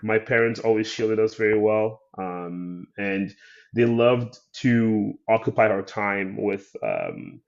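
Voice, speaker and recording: A man from Haiti, a filmmaker guest, telling his life story in a thoughtful, soft-spoken way with many pauses and "um"s. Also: lowish voice, expressive, gravelly, dull audio. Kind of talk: interview storytelling.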